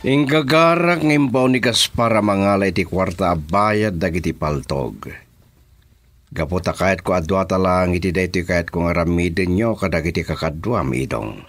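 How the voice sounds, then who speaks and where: A crime boss in an Ilocano radio drama, with a low voice that swings widely as he gives orders. He is commanding, short-tempered and threatening, and his Ilocano accent comes through on a close, dry recording. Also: animated, moderate pace, a bit bright, no echo. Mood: scheming. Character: menacing crime boss ordering a kidnapping, quick-tempered man.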